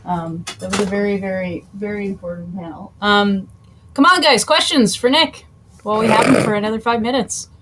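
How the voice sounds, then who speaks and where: A woman's voice: medium pitch, a little fast. Woman moderator over noisy call audio. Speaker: panel moderator. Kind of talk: casual chat, moderating an online panel.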